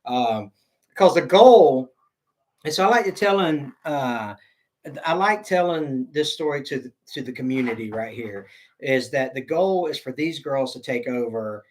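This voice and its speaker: A man's voice: medium pitch, lively intonation, measured pace. A middle-aged man with a Southern American accent hosting a casual livestream, rambling, stop-start and joking as he reads viewer comments. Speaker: livestream host.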